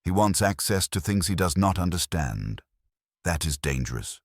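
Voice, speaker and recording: A man's voice: low-pitched, sing-song, no echo, studio quality, heavily acted. A man voicing an imperious, unruffled older master detective who speaks formally and with command in a detective story. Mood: calm, calculating. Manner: formal, commanding, deliberate wording.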